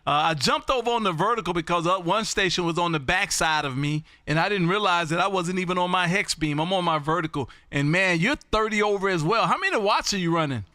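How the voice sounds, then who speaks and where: Loud, bright-voiced ham operator. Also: male voice, high voice, fairly quick, hardly any pauses. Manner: loud, folksy and repetitive, calling out call signs. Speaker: ham radio operator hosting a live stream. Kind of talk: casual on-air radio chat. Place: home radio room.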